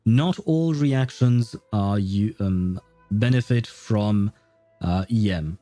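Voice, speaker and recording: A man's voice: fairly low pitch, slow pace, dark tone, dry recording. A man livestreaming a game, explaining and commenting in a casual, informal, opinionated way. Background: game music.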